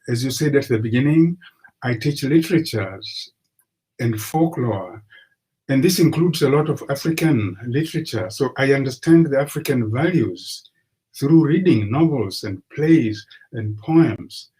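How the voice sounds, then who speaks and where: An older man from Tanzania, a professor guest on a video call, speaking in a measured, agreeable way. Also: medium pitch, lively intonation, dark tone, husky, poor audio. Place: study with bookshelves.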